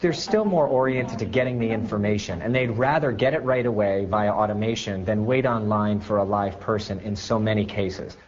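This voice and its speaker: A man's voice: lowish voice, normal speed, hardly any pauses. Male interviewee explaining, muffled sound. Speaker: company spokesman interviewee. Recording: dull, muffled broadcast copy.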